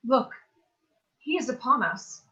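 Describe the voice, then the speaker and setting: Woman acting a bright-voiced, anxious teenage heroine. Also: sits high, lively intonation, slow, full character voice. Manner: earnest, theatrical archaic diction. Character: anxious, bookish teenage girl heroine in mock-Shakespearean horror. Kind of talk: mock-Shakespearean staged reading. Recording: dull lo-fi sound.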